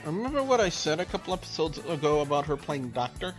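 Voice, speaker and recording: A man's voice: on the high side, big pitch swings, fast talker, soft background music. A man hosting a gaming channel, reading a visual novel aloud with casual asides.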